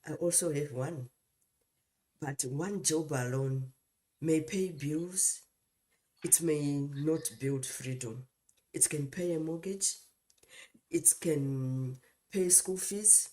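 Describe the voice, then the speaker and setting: A middle-aged woman with a Zimbabwean accent co-hosting a live talk show, speaking deliberately in short phrases with pauses. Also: low voice, dark tone. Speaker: co-host and mentor.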